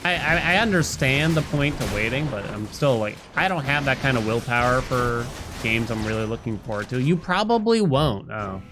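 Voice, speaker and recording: A man streams a video game, talking in a medium-pitched voice. He muses aloud and chats casually with viewers as he plays. Game music runs clearly behind him. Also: lively intonation, normal speed, hardly any pauses. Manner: musing aloud, conversational. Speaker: livestreamer playing a video game.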